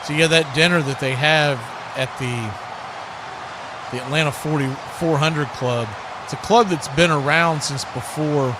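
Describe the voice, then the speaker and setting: A man's voice: medium pitch, noisy background. An American man doing live football play-by-play and chat as the host of a stream, with a folksy, conversational manner.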